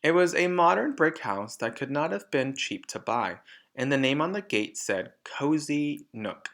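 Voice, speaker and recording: A man's voice: mid-range voice, very animated, normal speed, a bit bright. Man reading a children's book aloud. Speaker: storybook reader.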